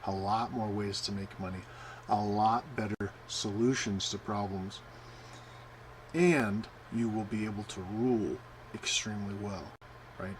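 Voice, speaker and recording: A man with a fairly low voice gives a loose, conversational sermon, filling gaps with "uh" and checking in with "right?". A faint hum of room noise sits under the recording. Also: steady tone, unhurried, poor audio. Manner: rambling, many fillers, asks "right?" often. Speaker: preacher. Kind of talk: informal sermon.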